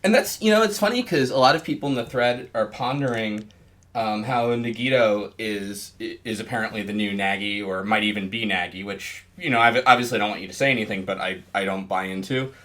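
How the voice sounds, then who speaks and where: A rather low-voiced man hosting a casual podcast interview. He rambles loosely, filling his thoughts with "like" and "you know" while he talks it through. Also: fairly quick, fairly bright tone.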